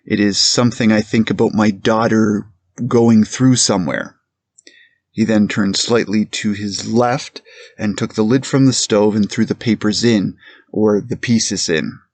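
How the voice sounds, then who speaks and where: A man's voice: fairly low pitch, fairly even intonation, unhurried, a little mellow, no room sound. A man playing a precise, deferential police captain giving hesitant, formal testimony in a courtroom reenactment. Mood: measured, factual. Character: careful, plain-spoken male police captain testifying as a witness. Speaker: witness.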